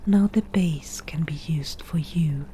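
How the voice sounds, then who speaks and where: A woman playing a crafty, slightly defensive forest witch in an ASMR roleplay, speaking in a soft breathy whisper with measured, dry delivery. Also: low-pitched, a little hiss or hum, low-quality recording. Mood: sly, businesslike. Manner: slow, measured, matter-of-fact with dry asides. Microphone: close ASMR microphone.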